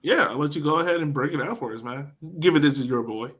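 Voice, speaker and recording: A man's voice: fairly high pitch, quick pace, a bit bright. An American man co-hosting a sports podcast, chatting casually and animatedly in slangy phrasing as he breaks down soccer.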